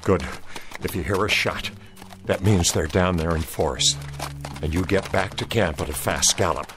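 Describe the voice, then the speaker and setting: An actor playing a stern, resolute Confederate cavalry soldier in a radio drama, with a folksy, commanding Southern US accent. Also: male voice, deep voice, normal speed, music in the background, lightly acted.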